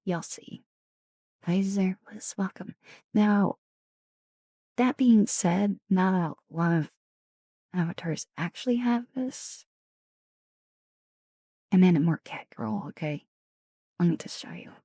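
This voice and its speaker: Raspy woman, low voice, unhurried pace, soft-spoken, whispery asides, dry close sound. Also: no room sound. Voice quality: raspy, deeper than usual. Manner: chatty, greets and thanks viewers. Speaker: streamer. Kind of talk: casual ASMR live-stream chat.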